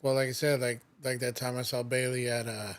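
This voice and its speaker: A man's voice: medium pitch, flat delivery, fairly quick. A man hosting a podcast, telling stories in casual, rambling chat full of restarts. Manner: rambling storyteller, many restarts and "like". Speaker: podcast host.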